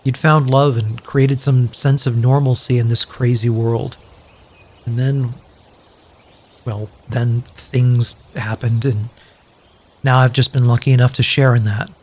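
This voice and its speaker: An actor playing a sincere, joking wasteland survivor in an audio drama, speaking earnestly and hesitantly with wry, profane humour. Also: male voice, mid-range voice, flat delivery, a little slow, darkish tone, natural voice. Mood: wistful. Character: sincere, grateful, joking male wasteland survivor, loyal friend. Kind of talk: audio drama dialogue, naturalistic.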